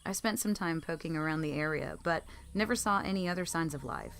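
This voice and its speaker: A woman's voice: low-pitched, fairly quick, soft background music. A woman reading creepy first-person stories aloud as a narrator, in scripted storytelling style. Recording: clearly noisy background.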